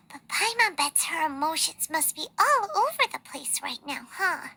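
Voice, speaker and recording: An actress voicing a tiny, childlike fairy companion in a video game, squeaky and chirpy. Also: female voice, bright, forceful tone, a little hiss or hum, heavily acted. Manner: chirpy, refers to self in third person. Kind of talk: scripted video game voice acting.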